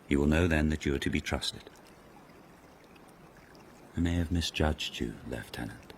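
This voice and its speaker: Deep-voiced haughty palace lord. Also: male voice, a little hiss or hum. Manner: commanding, pompous, drawling scorn. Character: haughty, sardonic aristocratic palace lord, amused and imperious. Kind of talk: radio period mystery drama acting.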